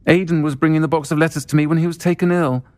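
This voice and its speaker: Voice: mid-range voice, fairly even intonation, rapid-fire, a little mellow, close to an everyday voice. A performer playing a kindly, caring witch who keeps a pub in a video game, speaking with a British accent in a familiar, considerate manner. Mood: caring, confiding.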